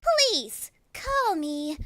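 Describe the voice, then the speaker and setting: A woman voicing a mysterious, sinister creature of the thicket that coaxes in a soft, sweet manner. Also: very high-pitched, very animated, no echo, clean studio sound, voice pushed up, exaggerated voice. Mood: sweetly coaxing. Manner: soft, sweet, coaxing, slow delivery.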